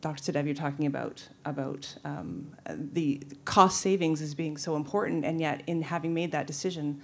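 Low-voiced woman at a conference forum. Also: creaky. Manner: measured, persuasive. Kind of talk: audience floor comment. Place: large conference hall.

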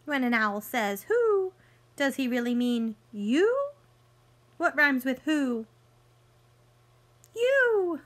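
Woman in her thirties, teacher, children's read-aloud, rather high pitch, very slow pace, sing-song, expressive, simple questions. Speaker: teacher reading a picture book aloud.

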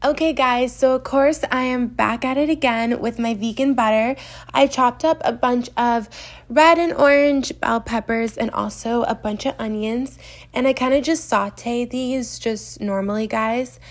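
A woman's voice: fairly high pitch, unhurried. A young woman from the US East Coast, chatty and gushing, narrating a casual meal prep voice-over in her home kitchen. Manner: chatty and gushing, heavy use of "like". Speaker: vlogger.

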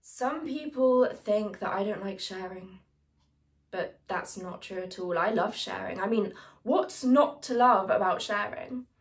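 A woman's voice: mid-range voice, measured pace, lightly acted. A woman playing a primly defensive young woman in a comic monologue, chatty and emphatic. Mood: insistent. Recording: roomy, echoing room sound.

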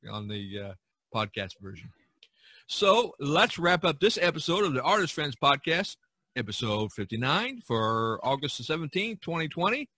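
A man's voice: mid-range voice, sharp tone, no room sound. A man hosting a casual podcast chat among artist friends, rambling a little and repeating his phrases. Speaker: podcast host.

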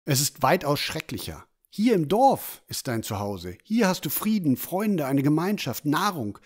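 Man acting a stern, animated village father. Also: a little high, unhurried. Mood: stern, exasperated. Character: stern, exasperated village father of a teenage boy. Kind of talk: amateur fantasy audio drama acting.

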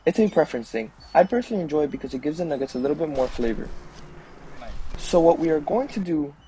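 A young man narrating step-by-step food preparation instructions in a measured, explanatory way. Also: fairly high pitch, animated, warm tone, poor audio. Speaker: fishing show host.

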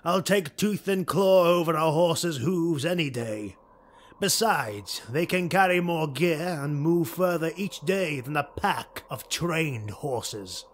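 A man voicing a cold, calculating dark elf nobleman in an audiobook, measured and commanding. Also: on the high side, lively intonation, voice pushed up. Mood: scheming.

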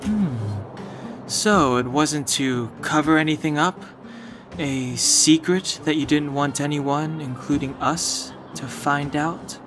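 A man voicing a serious, suspicious young companion in a video game, measured and reserved. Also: a little high, over music. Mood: cool suspicion.

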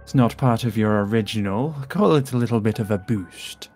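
Guy playing polite calculating villain in minecraft rp, lowish formal voice, music behind. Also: normal speed, a little mellow, close to an everyday voice. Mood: courteous. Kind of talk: amateur Minecraft roleplay acting.

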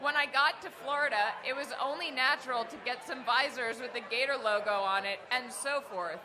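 A young woman co-hosting a casual sports chat, playful and chatty, quick to laugh. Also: sits high, low-quality recording. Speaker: co-host. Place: crowded bar, indoors. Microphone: handheld mic. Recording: live bar crowd and noise behind.

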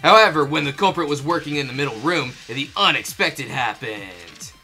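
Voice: mid-range voice, lively intonation, pushed and bright, faint music behind. A person reading a game script as scripted narration, with playful, casual asides. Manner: playful asides, quick to laugh. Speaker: fandub performer reading the game script with commentary. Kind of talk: scripted narration mixed with casual joking chat.

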